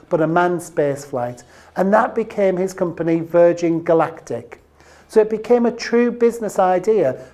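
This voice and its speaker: Female trainer for a short business explainer: lowish pitch, very mellow tone, emphatic on key phrases, speaking straight to the viewer. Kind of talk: instructional training video presentation to camera.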